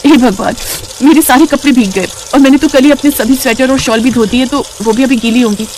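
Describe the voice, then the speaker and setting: A woman's voice: high-pitched, brisk, a little mellow, slight background noise, voice pushed up, natural voice. A woman voicing a meek, overworked young daughter-in-law in a plaintive manner for a moral story. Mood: distressed.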